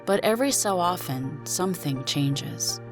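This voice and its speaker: Low-voiced woman reading suspense fiction. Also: animated, a little slow, music in the background. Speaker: narrator and performer of a story. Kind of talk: dramatic first-person suspense story reading.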